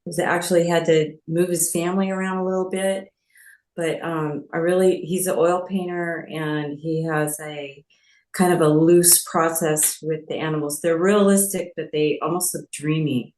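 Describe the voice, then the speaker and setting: A woman from California introducing herself as a painter, halting and searching for words. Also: low-pitched, steady tone, darkish tone, roomy sound, poor audio. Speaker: painter at a meetup. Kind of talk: casual self-introduction.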